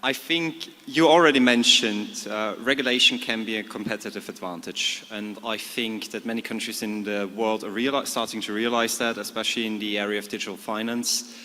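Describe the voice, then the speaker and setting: A man's voice: on the low side, steady tone, brisk, pushed and bright. A man answering as a legal expert on a conference panel, articulate and explanatory. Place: conference stage in a large hall. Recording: long hall reverb.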